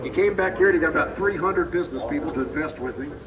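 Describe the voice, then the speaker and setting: A man, hoarse from a cold, giving a folksy guided walking tour and repeating his key points. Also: on the high side, fast, crowd noise. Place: outdoors at a racetrack with a tour group. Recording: distant voice, outdoor noise.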